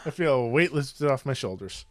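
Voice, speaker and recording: A man's voice: medium pitch, animated, normal speed. A man co-hosting a casual chat, tossing in short, jokey remarks. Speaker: podcast co-host.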